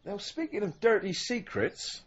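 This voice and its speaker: An earnest policeman in a radio play. His voice is fairly high for a man and a bit rough, with lively, cautioning delivery. The sound is slightly muffled. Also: a little slow, heavily acted. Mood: stern warning. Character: earnest, exasperated male police officer. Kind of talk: British radio drama acting.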